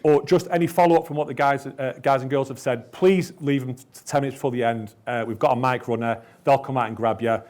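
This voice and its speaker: A man's voice: medium pitch, steady tone, fairly quick, few pauses, a little mellow. Male moderator, mid-range voice, upbeat and direct. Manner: upbeat, addresses the audience directly. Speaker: panel moderator. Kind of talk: moderating a conference panel. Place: conference room with audience.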